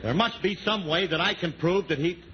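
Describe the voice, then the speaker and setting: An actor playing a brash, bossy, slangy husband in a 1940s radio comedy. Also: male voice, high voice, moderate pace, breathy edge. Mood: stubborn, cocky. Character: brash, slangy, stubborn husband out to prove a point. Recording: muffled, noisy 1940s radio, studio audience.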